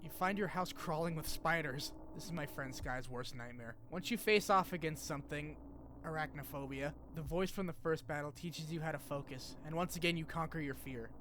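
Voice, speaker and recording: A man's voice: fairly high pitch, moderate pace, noisy background. A man narrating a video essay in a casual, scripted recap style, with wry, slangy asides. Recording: music under the voice. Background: music.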